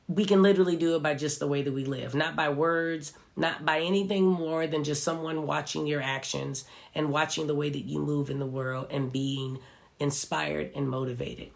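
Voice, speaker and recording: Motivational vlogger, congested from a cold, gravelly edge, sincere direct address to camera. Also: mid-range voice. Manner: earnest, speaks straight to viewers, fillers like "right" and "you know". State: stuffy from a cold. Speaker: social media vlogger. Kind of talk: reflective motivational talk to viewers.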